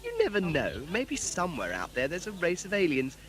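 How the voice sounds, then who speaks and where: Playful young sidekick for audio drama, male actor, cheeky, casual, whimsical, bouncy higher-pitched delivery. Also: wildly swooping pitch, a little fast. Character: cheeky, playful young companion named Greg. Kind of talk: amateur audio drama acting.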